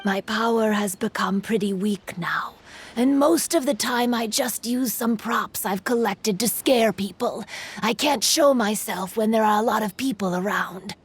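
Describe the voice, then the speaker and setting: A woman voicing a sorrowful, weary yokai spirit in a video game, speaking wistfully in breathy half-whispers. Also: fairly high pitch, measured pace, soft background music. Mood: resentful, faint. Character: sorrowful, weary little female yokai spirit of an old paddle.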